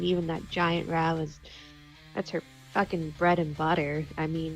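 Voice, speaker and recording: A woman's voice: sits low, creaky, poor audio. A woman playing a tabletop game, casually and informally describing her character's moves.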